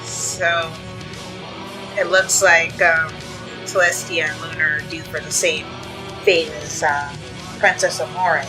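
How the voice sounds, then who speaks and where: A woman with a low voice reacts casually as a video host. Her phrasing is hesitant and comes in pieces, with music playing behind her. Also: flat delivery, takes it slowly, rough recording.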